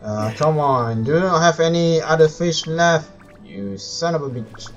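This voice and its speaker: A man's voice: a little high, lively intonation, measured pace, muffled recording. A man doing casual live game commentary, thinking aloud and muttering to himself as he plays. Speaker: video game live streamer. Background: game music.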